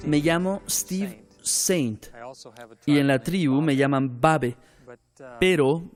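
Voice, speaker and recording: Man, high-pitched, earnest testimony over faint music; grew up in Ecuador. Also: slow pace. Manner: earnest storytelling with preaching turns. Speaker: missionary's son telling his story. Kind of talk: documentary interview testimony.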